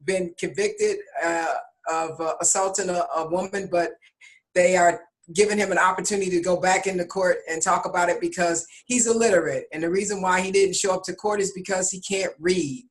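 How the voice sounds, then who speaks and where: A man hosting a casual podcast who reports news items, pausing on fillers between phrases. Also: high voice, fairly even intonation, rough recording. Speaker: podcast host.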